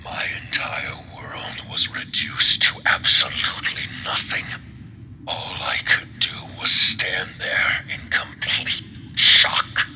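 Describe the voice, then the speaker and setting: An actor playing a grim, vengeful warrior in a game cutscene, with a rough, breathy growl and solemn, weighty delivery. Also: male voice, slow, sharp tone, whispered, poor audio. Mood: grave, bitter. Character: grim vengeful warrior, survivor of a destroyed planet. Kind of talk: dramatic scripted game cutscene acting.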